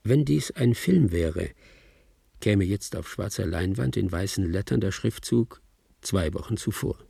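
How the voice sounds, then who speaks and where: A shrewd middle-aged Hollywood agent telling a story in a radio drama. The voice is low, very husky and full of gravel. He muses wryly, as if chatting. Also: expressive, unhurried, warm tone, heavily acted. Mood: ominous, cinematic. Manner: wry, conversational, musing. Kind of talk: German radio drama narration.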